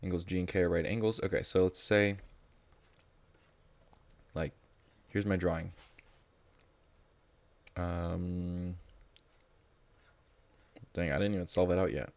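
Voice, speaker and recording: A man's voice: sits low, steady tone, takes it slowly, gravelly, long pauses, no room sound. A man tutoring math live in a casual, informal way, thinking aloud as he explains.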